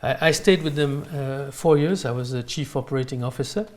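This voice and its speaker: A man with a French accent answering interview questions in halting, unscripted non-native English. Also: mid-range voice, darkish tone. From France. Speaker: interview guest, real estate company owner. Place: in his apartment.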